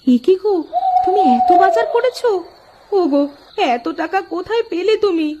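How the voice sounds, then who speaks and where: A woman's voice: very high voice, expressive, moderate pace, mellow. A woman playing an affectionate, worried village housewife in a cartoon, pleading and fussing over the husband. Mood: surprised, affectionate. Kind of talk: cartoon voice acting.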